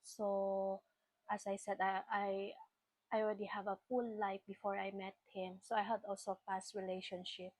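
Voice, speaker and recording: Filipina woman, late 30s, Filipino accent; halting, filler-heavy personal vlog talk; mid pitch, dead-dry room. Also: flat delivery, darkish tone, dry recording, poor audio. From Philippines. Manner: chatty, halting, with Filipino fillers and frequent restarts. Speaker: vlogger. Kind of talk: casual personal storytelling.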